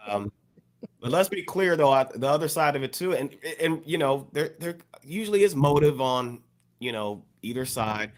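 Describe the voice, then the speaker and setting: A man talking as a podcast co-host, explaining things carefully and haltingly in a casual panel discussion. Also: fairly high pitch, lively intonation.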